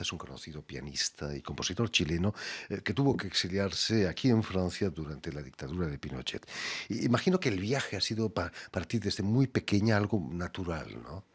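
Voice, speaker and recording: A man's voice: sits low, slightly rough. A man hosting a radio interview with courteous, formal phrasing.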